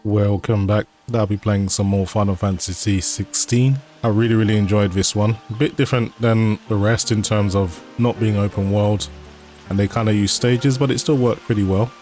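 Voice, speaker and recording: A man's voice: fairly low pitch, normal speed. A man hosting a gaming channel, chatting casually about settings and performance with occasional fillers. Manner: relaxed, conversational, with fillers. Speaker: game channel host. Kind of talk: casual gameplay commentary. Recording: voice-over over game music.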